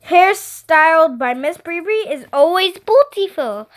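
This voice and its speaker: A woman playing high-pitched bratty girl, mock French accent. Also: animated, takes it slowly, exaggerated voice. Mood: coaxing, breezy.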